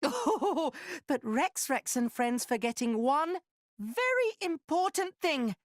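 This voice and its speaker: An actor voicing a small, excitable furry male creature who talks in broken grammar in a game. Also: high voice, slow, no room sound, clean studio sound, exaggerated voice. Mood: cheerful, coy. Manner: speaks in broken third-person grammar. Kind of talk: voiced game cutscene acting.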